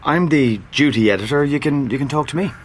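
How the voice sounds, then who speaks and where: An actor playing a smug, scheming, evasive newspaper rival in a radio drama. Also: male voice, medium pitch, fairly quick, a little hiss or hum, close to an everyday voice. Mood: glib, reassuring. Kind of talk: naturalistic radio drama acting.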